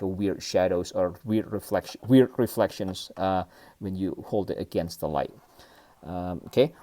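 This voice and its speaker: A man's voice: medium pitch, darkish tone, no echo. A man from Indonesia hosting a casual, unscripted model car review, speaking hesitantly in short broken phrases and often checking in with a brief questioning tag.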